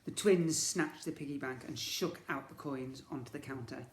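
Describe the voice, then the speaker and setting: A man reading a children's story as a teacher would, with dramatic, expressive narration. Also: medium pitch, moderate pace, slight background noise. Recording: echoey room.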